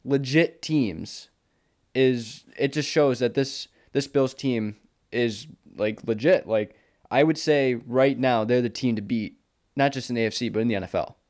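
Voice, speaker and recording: A man's voice: medium pitch, normal speed, a little mellow. An American man co-hosting a sports podcast, talking casually and informally like a confident fan. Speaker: podcast co-host.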